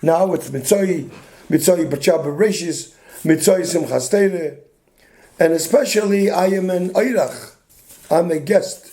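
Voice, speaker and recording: An older man, a rabbi, with a hoarse voice, giving an informal talk full of stories, restarting his sentences often. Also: high voice, unhurried, warm tone. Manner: meandering, many restarts, mixes Yiddish and Hebrew terms.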